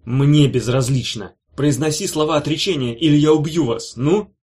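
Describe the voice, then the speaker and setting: A man's voice: medium pitch, normal speed, darkish tone, natural voice. A man reading an audiobook, voicing a sinister male demon guard who hisses with fury. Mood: hissing. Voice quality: hissing.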